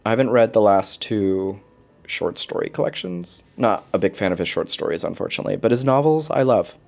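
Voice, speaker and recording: A man chatting casually as a book vlogger, expressive and slangy, with playful asides. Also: fairly low pitch, fairly quick, vocal fry. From lives in Korea, origin unstated. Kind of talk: casual chat, book recommendations to camera.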